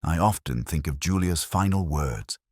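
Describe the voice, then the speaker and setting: A man playing a melancholy older English police inspector, delivering a measured, solemn, literary monologue in a radio drama. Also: very deep voice, lively intonation, darkish tone, dry recording, studio quality. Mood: brooding, wistful. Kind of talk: scripted first-person radio drama monologue.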